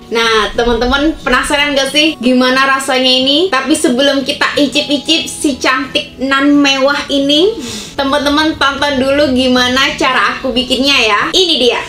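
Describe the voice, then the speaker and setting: A woman's voice: sits high, fairly even intonation, moderate pace, sharp tone, roomy sound, faint music behind. A young woman chatting upbeat to her viewers while walking them through a simple recipe. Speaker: cooking vlogger. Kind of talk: cheerful cooking tutorial.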